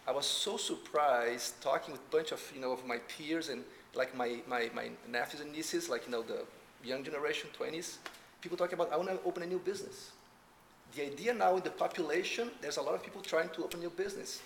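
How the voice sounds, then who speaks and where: A man's voice: fairly high pitch, fast, a bit bright. A middle-aged man with a Brazilian accent, a native Portuguese speaker, talking conversationally and telling stories on a panel. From southern Brazil. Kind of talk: informal panel discussion, storytelling. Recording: roomy echo, faint background noise.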